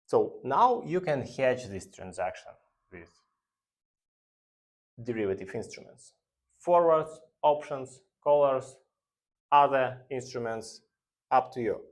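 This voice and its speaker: Slow, halting male finance instructor. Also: mid-range voice, animated. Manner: halting, deliberate delivery in short phrases. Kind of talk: explanatory lecture.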